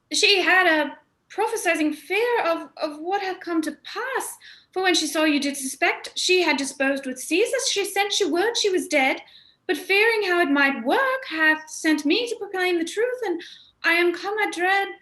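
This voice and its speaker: Woman playing a bright, high-voiced anxious servant. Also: a little slow, exaggerated voice. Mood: anxious, dutiful. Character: Diomed, anxious loyal servant messenger. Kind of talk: Shakespearean radio play acting. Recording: roomy, echoing sound.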